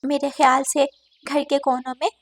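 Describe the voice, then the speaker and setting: A woman's voice: sits high, level tone, normal speed, no echo. A woman voicing a kind, practical female little bird in a cartoon, polite and encouraging. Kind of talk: cartoon character acting.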